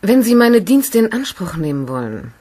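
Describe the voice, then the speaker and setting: A woman's voice: mid-range voice, sing-song, normal speed, mellow, husky. An actress playing a cool, self-possessed young female thief in a radio crime play, speaking precisely and without fear. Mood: composed. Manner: composed, unafraid, precise.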